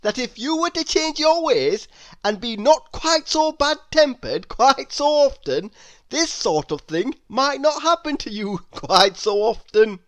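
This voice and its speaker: A woman's voice: high voice, animated, measured pace, voice pushed up. A woman voicing a cheerful, friendly cartoon man in a children's storybook, grinning and chuckling as he talks. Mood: amused. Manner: grinning, chuckling, bright greeting tone.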